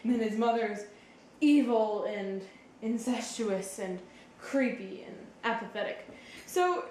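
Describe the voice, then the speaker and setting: A woman's voice: a little high, animated, slow pace, darkish tone. A woman acting as a patient, knowledgeable explainer, giving casual literary commentary in a conversational way. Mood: thoughtful. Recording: roomy echo, faint background noise.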